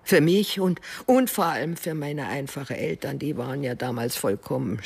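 An actress playing a secretive older mother in a radio drama, defensive and evasive, speaking haltingly. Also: very deep voice, creaky, a little mellow, slightly rough, heavily acted. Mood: halting, ashamed. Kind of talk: naturalistic German radio drama acting.

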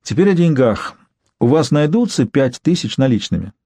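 Audiobook role: male reader as a polite young fixer, matter-of-fact, mellow, quietly persuasive. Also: mid-range voice, sing-song, moderate pace, no room sound. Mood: businesslike.

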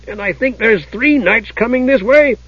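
A man voicing an eager, curious, chatty little male kender in a fantasy audiobook, guileless and excitable. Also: very high-pitched, normal speed, breathy edge, dry recording, muffled recording, voice pushed up.

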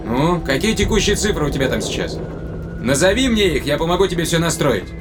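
A man playing an excitable, anxious spaceship captain in a science-fiction audio drama, talking in an emphatic, colloquial way. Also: fairly high pitch, fairly quick, loud music behind the voice, voice pushed up. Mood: probing, concerned.

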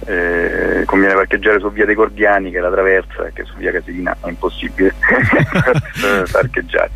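A man, explaining an event programme in an informal radio interview, often searching for words in a halting way. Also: low-pitched, fairly even intonation, creaky, pushed and bright, husky, faint music behind. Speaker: interview guest, photography teacher and event organiser.

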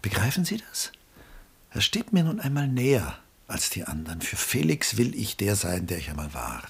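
An actor playing an embittered, lonely middle-aged artist in a mid-century German radio play, melancholy and brooding, with a husky voice and hushed drops. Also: male voice, lowish voice, wildly swooping pitch, moderate pace, full character voice. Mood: earnest, brooding. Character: Julian, embittered aging artist, lonely, self-pitying father.

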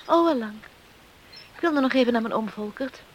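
An actress playing a guarded young grown daughter in a radio play, on a noisy old recording. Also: female voice, fairly high pitch, animated, moderate pace, dull audio, lightly acted. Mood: guarded, flustered. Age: young adult.

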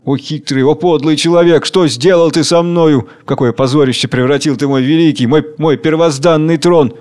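A man's voice: a little high, normal speed, darkish tone, close to an everyday voice. A man acting a mighty, somber, fatherly tyrant spirit, declaiming grandly and with command. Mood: bitter, sullen.

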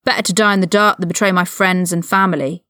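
A woman's voice: fairly low pitch, fairly even intonation, quick pace, bright, forceful tone, dry recording, clean studio sound. A woman voicing a hot-headed, loyal eighteen-year-old male wizard prisoner with blunt, indignant, informal speech in a story reading. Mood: outraged.

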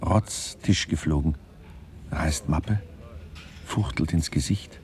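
Radio play narrator: extremely low, dark, mellow, slow and deadpan, observing in clipped fragments. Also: male voice, heavily acted. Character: detached male narrator minutely observing people.

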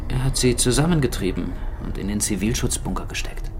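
An actor playing a grim island survivor in a radio drama, recounting catastrophe in terse phrases. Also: male voice, medium pitch, animated, brisk, noisy background, lightly acted. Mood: bitter. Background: music.

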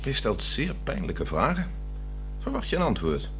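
An actor playing a stolid, irritable middle-aged husband with a dry, condescending manner in a 1960s Dutch radio drama. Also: male voice, medium pitch, huge pitch swings, moderate pace, fairly bright tone, faint music behind. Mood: irritated. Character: stolid, dull, irritable newspaper-reading husband. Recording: noisy 1960s radio recording with hum.

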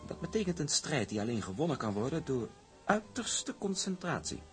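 An actor playing a cold, condescending, ruthless young shipping heir in a radio drama. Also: male voice, on the high side, very animated, moderate pace. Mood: condescending, hard. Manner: condescending, evasive. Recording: music behind the dialogue.

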